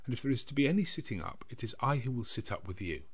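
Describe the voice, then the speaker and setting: A man voicing a frail, gentle young woman in an audiobook, earnest and sweetly trusting. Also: mid-range voice, very animated, quick pace, breathy edge, voice pushed up. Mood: gently insistent. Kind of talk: dramatised audiobook character dialogue.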